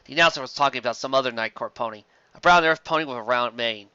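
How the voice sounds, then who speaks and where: A man reads scripted fiction as a narrator. His delivery is bright and lively. The recording is dry but a little dull and lo-fi. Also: medium pitch, fast, smooth, no echo.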